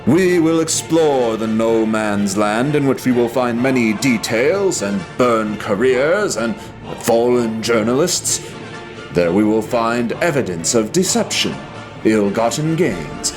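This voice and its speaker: A man giving a deliberate, portentous dramatic reading of a manifesto over choral music. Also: mid-range voice. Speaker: reader. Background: dramatic orchestral choral music.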